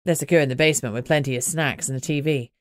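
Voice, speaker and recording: A woman voicing a grim, protective male werewolf in an audiobook, steady and matter-of-fact. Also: a bass voice, quick pace, no room sound, studio quality, voice pushed down. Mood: reassuring, steady.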